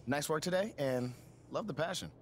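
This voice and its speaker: A man's voice: a little high, lively intonation, moderate pace, a little hiss or hum, lightly acted. A man playing an upbeat, encouraging career adviser in a video game, casual and enthusiastic. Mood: approving.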